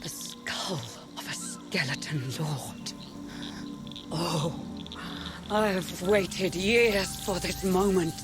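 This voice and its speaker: An actress voicing a solemn mystic of a soul order who speaks slow and measured, with music behind. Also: female voice, fairly low pitch. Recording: game cutscene with music behind.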